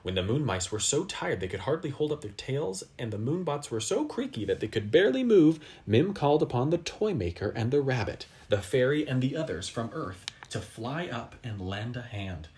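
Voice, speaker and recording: Expressive, lively children's storybook reader. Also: medium pitch. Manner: dramatic pauses, asides to young listeners.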